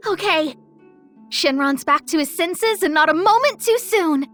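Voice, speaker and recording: A woman's voice: very high voice, animated, unhurried, a bit bright, faint music behind, exaggerated voice. A woman voicing a bossy, spirited small female deity who commands a squad in an anime game, with cheeky yet earnest authority. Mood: relieved, pleading. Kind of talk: English anime game dub acting.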